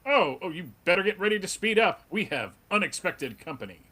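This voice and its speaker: A man's voice: high-pitched, big pitch swings, a little fast, sharp tone, exaggerated voice. A man playing a friendly, mildly wry superhero in plain everyday speech at a comic book table read. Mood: friendly, puzzled. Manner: plain modern conversational delivery, mildly wry. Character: polite, wry, square-jawed alien superhero in a comic.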